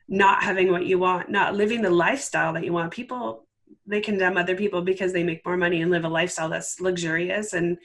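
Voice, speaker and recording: A woman's voice: fairly low pitch, a bit bright. Woman explaining her coaching work. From Canada. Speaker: interview guest, life transformation coach. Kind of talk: conversational interview, explaining own work.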